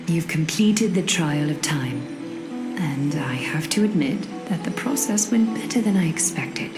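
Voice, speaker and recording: A grave woman leader from a fantasy game, with a low voice that carries a clear echo. She speaks formally and with measured composure, as if delivering omens, while music plays behind her. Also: animated. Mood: solemn. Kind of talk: video game voice acting.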